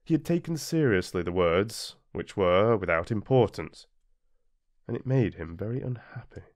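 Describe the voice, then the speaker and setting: A man's voice: fairly low pitch, animated, moderate pace, a little mellow, no echo, studio quality. A British man narrating a children's storybook expressively, as for an audiobook. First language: English.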